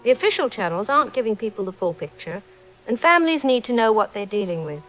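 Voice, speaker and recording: An actress playing a calm, watchful radio operator giving measured, procedural reports in an audio drama. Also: female voice, a little high, soft background music, rough recording. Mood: resolute.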